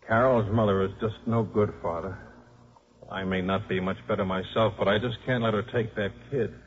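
A man's voice: fairly low pitch, fairly even intonation, normal speed, natural voice. Man playing a stubborn, wry coach, muffled radio. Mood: resolute, resigned. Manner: terse, sardonic, plainspoken. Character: stubborn, wry football coach and devoted father. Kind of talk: 1950s radio drama acting. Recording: muffled, noisy 1950s radio broadcast.

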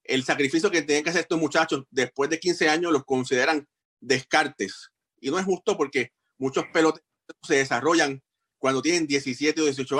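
A man hosts a sports podcast and asks his questions in a friendly, chatty tone, opening with casual fillers. His voice sits somewhat high for a man. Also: fairly bright tone. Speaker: podcast host, interviewer.

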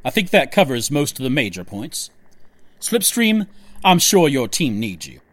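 A man's voice: mid-range voice, big pitch swings, measured pace, no echo. A man playing a controlling, impatient faction leader in a sci-fi audio drama, talking in a clipped, commanding, weary way. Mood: businesslike, curt.

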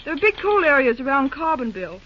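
Office girl, high lively voice, brisk, dryly sardonic, vintage radio drama, dull sound. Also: a little hiss or hum. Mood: matter-of-fact. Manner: matter-of-fact, slightly sardonic. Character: practical young office girl, brisk and unsympathetic. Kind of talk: old-time radio serial acting. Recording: dull old radio-show recording.

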